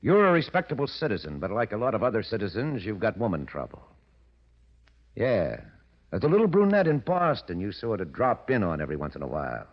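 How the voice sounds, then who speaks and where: An actor playing a scheming, ambitious blackmailer in a 1940s radio drama, with an insinuating, self-assured manner. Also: male voice, fairly low pitch, wildly swooping pitch, brisk, husky, heavily acted. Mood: menacing. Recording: muffled vintage radio recording.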